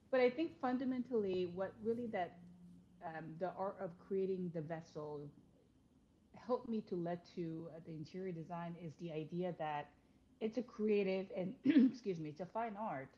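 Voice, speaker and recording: A woman's voice: fairly low pitch, warm tone, dull audio, slight background noise. A woman in her forties, born in South Korea, talking casually as a podcast guest with frequent fillers and restarts. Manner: conversational, many fillers and restarts, laughs easily. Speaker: interior design principal, podcast guest. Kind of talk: casual interview chat.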